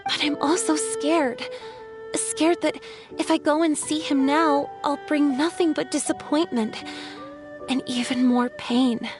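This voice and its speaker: A woman playing a gentle, lovelorn water spirit in a video game, speaking in earnest, poetic phrases. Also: high-pitched, steady tone. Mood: tender, torn. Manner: earnest, poetic, measured storytelling. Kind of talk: scripted video game voice acting. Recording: music behind the voice.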